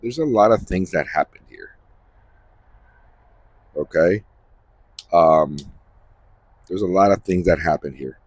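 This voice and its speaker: A man hosting a Bible-reading series, giving informal commentary in a halting, repetitive way and often checking for agreement. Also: low voice, no echo.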